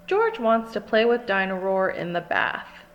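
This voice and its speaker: A woman's voice: medium pitch, big pitch swings, a little slow, muffled recording. A woman reading a children's storybook aloud, expressively and carefully phrased for small children. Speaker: storybook reader. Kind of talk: scripted children's read-aloud.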